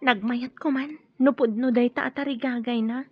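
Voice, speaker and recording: A wary young woman in a radio drama. She speaks high and husky, with a fearful temper. The sound is dry and muffled. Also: fairly even intonation, moderate pace, faint music behind. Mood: torn, anxious.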